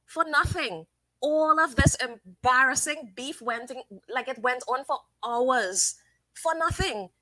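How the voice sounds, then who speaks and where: A woman hosting a casual live stream, giving her opinions and reading viewers' comments aloud in a chatty, thinking-out-loud way. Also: high-pitched, slow pace, dry recording, low-quality recording. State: sniffly from sinus trouble. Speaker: livestream host.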